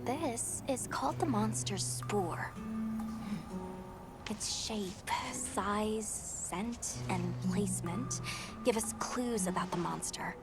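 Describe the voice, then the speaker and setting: An actress playing a level-headed expedition scholar in a game cutscene, explaining things in a calm, analytical way. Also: female voice, mid-range voice, slow pace, over loud music. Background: orchestral game score.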